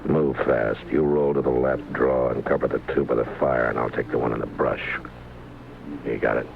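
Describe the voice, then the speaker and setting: Deep, gravelly western lawman. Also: steady tone, moderate pace, heavily acted. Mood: low and wary. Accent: American. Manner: clipped, authoritative, laconic. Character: tough, terse, unflappable male U.S. Marshal. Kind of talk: 1950s radio western acting. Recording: tinny, noisy old radio recording.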